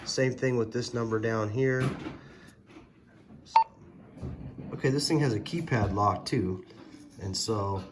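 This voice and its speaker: A man's voice: lowish voice, slow pace, pauses often. A man giving a casual, hands-on gadget tutorial, explaining step by step in a hesitant way. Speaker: product reviewer, presenter. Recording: very noisy, electronic beeps and clicks.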